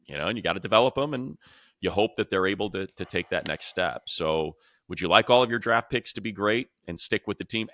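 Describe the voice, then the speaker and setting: A man's voice: fairly low pitch, pushed and bright, no room sound, clean studio sound. A man hosting a casual sports discussion, making his points emphatically and repeating them for weight. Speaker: sports show host, play-by-play announcer. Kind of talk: casual sports panel talk.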